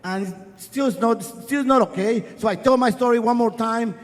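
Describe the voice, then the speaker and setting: A middle-aged man from Guatemala, a native Spanish speaker with a Spanish accent, telling a personal story on a festival stage in an earnest, wry way. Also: very high-pitched. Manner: earnest, wry, speeds up and slows down for effect. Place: festival stage before an audience. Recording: live hall with long reverb.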